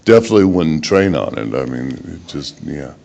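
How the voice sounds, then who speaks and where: A man with a very deep voice speaks as a researcher at a conference. His delivery is plain-spoken and halting as he explains technical work. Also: big pitch swings, gravelly, darkish tone, muffled recording, slight background noise. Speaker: research presenter. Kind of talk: conference presentation, then answering questions.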